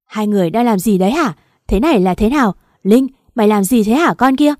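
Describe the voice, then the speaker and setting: A woman's voice: medium pitch, expressive, brisk, no echo, close to an everyday voice. A woman voicing a betrayed young wife who screams furious accusations at her husband and friend in a dry, close recording. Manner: shouting, raging accusations.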